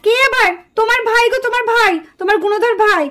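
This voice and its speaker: A woman's voice: very high voice, quick pace, sharp tone. A woman voicing an irritable, scolding young female student doll in a puppet drama. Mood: complaining. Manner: scolding, complaining.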